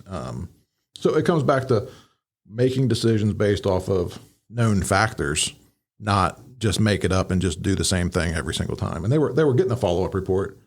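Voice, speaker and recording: Low-voiced American man breaking down events. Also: slightly rough. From Midwestern United States. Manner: explanatory, frequent "you know". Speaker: podcast host and fire command instructor. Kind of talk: casual analytical commentary.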